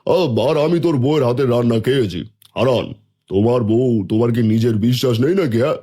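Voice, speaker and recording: A man's voice: medium pitch, fast talker, dark tone, natural voice. A man playing a kindly, authoritative village headman in a cartoon fairy tale. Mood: encouraging.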